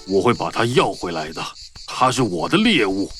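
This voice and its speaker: An actor playing an angry, vengeful male tiger villain with a gravelly growl in a children's cartoon story. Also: on the low side, very animated, normal speed, fairly bright tone, soft background music. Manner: possessive, threatening.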